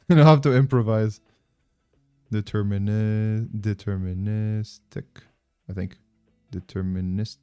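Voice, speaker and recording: A man with a French accent chats casually as a livestream host. His voice sits fairly low and he rambles informally, thinking out loud as he goes. Light music plays behind him. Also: steady tone, slow pace, long pauses, clear, resonant tone. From France. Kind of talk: casual livestream chat with viewers.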